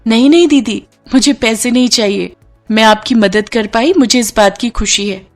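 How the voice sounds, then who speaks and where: A woman's voice: on the high side, normal speed, soft background music, close to an everyday voice. A woman acts out a young cartoon-story heroine with a raised, sweet voice. She is humble and eager, speaking respectfully and a little hesitantly, with earnest feeling. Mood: eager, warm. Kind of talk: Hindi cartoon story dialogue.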